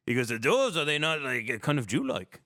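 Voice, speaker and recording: A man's voice: mid-range voice, sing-song, brisk, pushed and bright, no echo, studio quality. A man hosting a casual podcast, talking in irreverent, needling banter with plenty of swearing. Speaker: podcast host. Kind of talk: casual chat.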